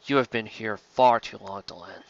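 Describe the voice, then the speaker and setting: A man voicing a stern, commanding older alien mentor in a science fiction story, measured in manner. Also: lowish voice, vocal fry, highs cut off.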